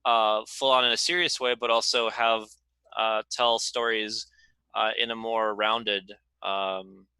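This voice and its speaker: A man's voice: fairly low pitch, bright, forceful tone, clean tone, no room sound, low-quality recording. A man giving candid, reflective answers as a game writer, hesitant and self-deprecating as he thinks aloud. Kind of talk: candid conversational panel answer.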